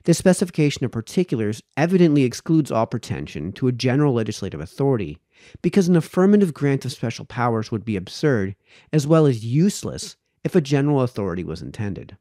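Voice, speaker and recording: A man reads a formal old essay aloud with a soft, relaxed tone. His pitch rises and falls a lot over long, measured sentences, and he sometimes trips on a word. He is close to the mic in a dry indoor room. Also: dry recording. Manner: careful, measured, stumbles over words and rereads them. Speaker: reader, host of a read-aloud series. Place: small indoor home study. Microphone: close microphone on a boom arm.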